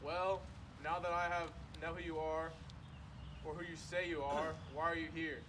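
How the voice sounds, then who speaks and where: Distant and set in clear outdoor noise, a man acts a huge, gruff man of the wild in an amateur stage reading. His fairly high male voice is suspicious and blunt. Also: little pitch movement, moderate pace, natural voice. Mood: gruff, curious. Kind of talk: amateur readers' theater reading. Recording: distant, noisy outdoor recording.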